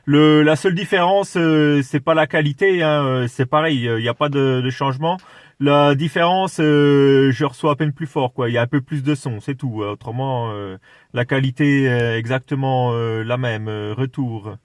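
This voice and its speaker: A man's voice: medium pitch, moderate pace, no echo. A man chatting casually as an amateur radio operator, in a plain-spoken, hesitant way.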